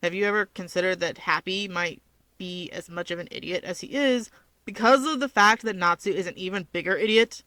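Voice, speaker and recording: Storybook male wizard, played by a woman: gruff, self-assured tease, sharp forceful tone, blunt sardonic delivery. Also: lowish voice, brisk, no room sound, pitched below the performer's own voice. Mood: mocking. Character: gruff, cocky, teasing young male wizard. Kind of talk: fan fiction character reading.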